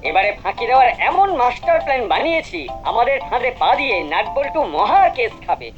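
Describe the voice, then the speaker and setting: A man's voice: very high voice, sing-song, quick pace. A man voicing a scheming, cocky cartoon troublemaker in an exaggerated style. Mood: gleefully scheming. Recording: muffled, band-limited sound under music.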